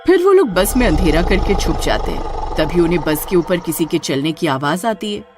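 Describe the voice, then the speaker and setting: A woman's voice: on the low side, sing-song, normal speed, music in the background. A woman narrating a horror-comedy cartoon story in a dramatic, suspenseful way.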